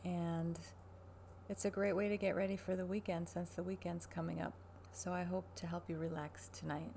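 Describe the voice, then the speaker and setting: A woman in her thirties speaking softly, quietly and soothingly in a creaky voice, as a barber in an ASMR personal-attention roleplay. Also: lowish voice, flat delivery, normal speed. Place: small furnished room at home, indoors. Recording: noisy webcam-style home recording.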